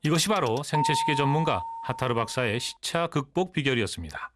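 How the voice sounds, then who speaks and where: A man giving scripted documentary narration in a smooth, informative manner. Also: medium pitch, animated, very fast, very noisy. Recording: voice-over with music behind.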